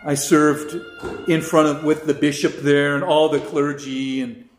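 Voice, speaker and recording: Chatty priest in an echoey room. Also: male voice, on the high side, little pitch movement, a little slow, soft background music. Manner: rambling, many fillers and restarts, sings brief chant examples. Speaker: priest teaching a catechism class. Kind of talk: casual classroom teaching. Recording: roomy echo.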